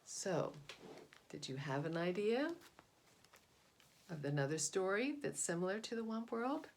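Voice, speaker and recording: A middle-aged woman with a slightly low voice presents picture books to young listeners. She talks informally, in an explanatory way that addresses the children directly. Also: lively intonation, a little slow, vocal fry, slight background noise. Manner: explanatory, asks listeners questions. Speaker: read-aloud presenter.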